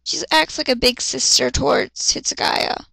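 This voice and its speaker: A woman's voice: high voice, steady tone, moderate pace, gravelly, pushed and bright, dry recording, rough recording. A woman reading fan fiction dramatically and commenting on it in a blunt, exasperated, sarcastic manner. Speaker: reader and commentator.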